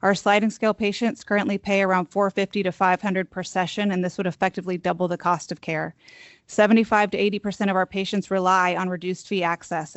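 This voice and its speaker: A soft-voiced woman, a registered nurse, reading prepared public testimony in a firm, steady manner. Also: medium pitch, no room sound. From Texas. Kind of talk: prepared testimony read aloud.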